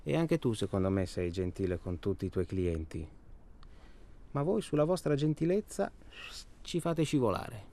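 A man's voice: lowish voice, big pitch swings, moderate pace, mellow. An actor playing a weary, restless man tormented by his job, who rambles in an agitated, sardonic, naturalistic way. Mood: sardonic, insistent. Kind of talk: naturalistic radio drama dialogue.

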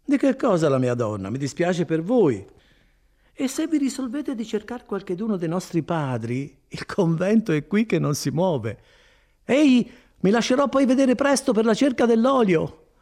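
A talkative, placid country friar as a man acts him out for a storybook, folksy and reassuring. His voice creaks and bobs up and down through long, unhurried explanations. He sounds untroubled by anything. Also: high voice, big pitch swings, a little mellow, voice pushed up. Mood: amiable, unbothered. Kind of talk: dramatised audiobook reading.

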